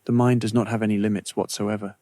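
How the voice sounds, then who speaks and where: A man narrating a scripted guided meditation in a slow, measured, soothing way with long pauses. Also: on the low side, little pitch movement, dark tone, dry recording.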